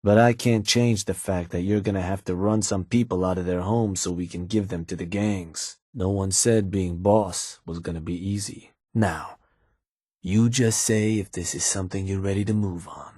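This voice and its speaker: Deep, husky, cynical gang advisor. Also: male voice, fairly even intonation, moderate pace, a little mellow, no room sound, clean studio sound. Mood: coaxing, matter-of-fact. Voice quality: husky, low. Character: cynical gang advisor man, sly, folksy, menacing. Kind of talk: video game character dialogue.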